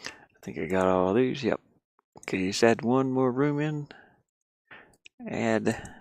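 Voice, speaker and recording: A man's voice: medium pitch, slow pace, creaky, darkish tone, no echo, clean studio sound. A man chatting casually and loosely while he works, mumbling asides to himself in an informal, rambling way. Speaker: streamer and hobby game developer. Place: inside a van.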